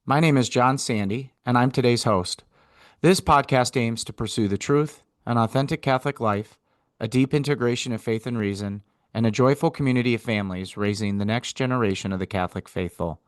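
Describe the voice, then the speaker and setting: A man's voice: mid-range voice, brisk. An American man hosting a podcast, speaking in an informal, enthusiastic way. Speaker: podcast host. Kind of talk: casual interview chat.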